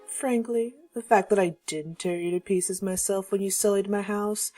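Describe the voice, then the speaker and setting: A woman's voice: mid-range voice, fairly even intonation, moderate pace, mellow, faint music behind, natural voice. A woman playing a haughty ancient vampire hostess in a fantasy audio drama, taunting in a playful, theatrical way. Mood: disdainful, threatening.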